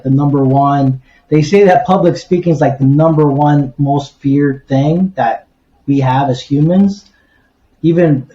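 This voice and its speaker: A man's voice: medium pitch, dark tone. A man hosting a podcast, interviewing in a casual, conversational way. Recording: roomy, dull lo-fi audio.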